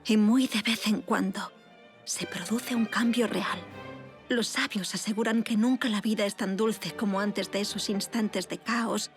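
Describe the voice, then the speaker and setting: A woman's voice: mid-range voice, fairly even intonation, unhurried, close to an everyday voice. Solemn noblewoman narrator, played by an actress over violin. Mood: solemn, reflective. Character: Teresa Cabarrús, reflective noblewoman recalling revolutionary upheaval. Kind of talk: dramatic scripted first-person narration for audio fiction. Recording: violin music behind studio voice.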